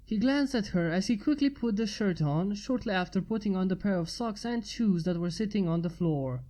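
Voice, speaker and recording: A woman's voice: medium pitch, animated, moderate pace, dark tone, rough recording. A woman narrating a scripted piece of fan fiction as its reader.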